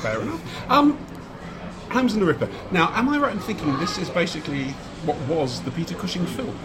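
A man's voice: high-pitched, very animated, fairly quick. A man interviewing a guest for a podcast, asking playful, jokey questions inside a busy bookshop. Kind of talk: casual interview. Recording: crowd noise behind. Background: crowd chatter.

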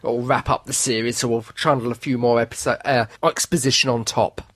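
A man's voice: medium pitch, fairly even intonation, fairly quick, fairly bright tone, dry recording. A man discussing things casually and analytically as a podcast panellist.

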